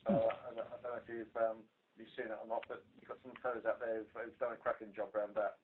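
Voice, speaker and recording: A British man giving an informal, halting work briefing as a police investigator on a conference call over the telephone. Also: on the low side, flat delivery, very noisy. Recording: tinny narrow-band phone conference line.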